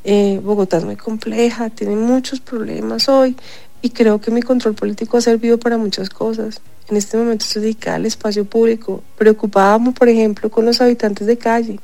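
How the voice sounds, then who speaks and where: A woman's voice: fairly high pitch, flat delivery, fairly quick, vocal fry, mellow, no room sound. Emphatic congested woman. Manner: emphatic, insistent, repeats points. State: congested, stuffy. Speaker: city councillor. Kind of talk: radio interview.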